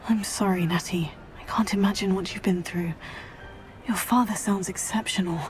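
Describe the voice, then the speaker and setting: A woman playing a kind, supportive teenage schoolgirl witch in a video game, polite, measured and sympathetic. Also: mid-range voice, fairly even intonation, over music, close to an everyday voice. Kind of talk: video game character acting.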